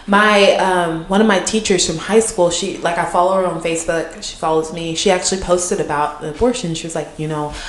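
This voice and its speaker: A woman's voice: on the low side, fairly even intonation, fairly quick, hardly any pauses, echoey room. A woman co-hosting a podcast, chatting casually with lots of fillers. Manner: chatty, many fillers and restarts. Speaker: podcast co-host.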